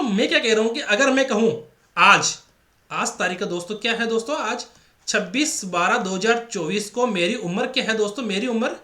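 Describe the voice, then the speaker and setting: A man's voice: high-pitched, pushed and bright. A male math teacher with a Rajasthani Hindi accent, running an exam-prep lesson and repeating each step with rhetorical questions to the class. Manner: repetitive, drills points with rhetorical questions to the class. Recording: echoey room.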